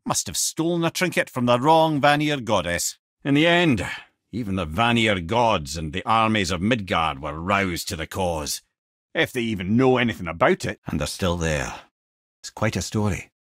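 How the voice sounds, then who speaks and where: An actor playing a wry old sage in a video game, an eloquent, theatrical storyteller with a Scottish accent. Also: male voice, fairly low pitch, expressive, moderate pace, a bit bright, no echo, studio quality. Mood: wry, knowing.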